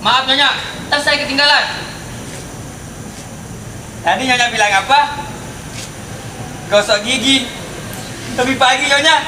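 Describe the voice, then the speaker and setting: A man acting a high-voiced, slyly polite gentleman. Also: unhurried, pauses often. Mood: politely insistent. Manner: courteous, teasing, insistent. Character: sly, polite, persistent gentleman pestering a married lady. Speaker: student stage actor. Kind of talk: stage drama acting. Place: outdoor car park stage. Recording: distant echoey stage recording with loud background noise.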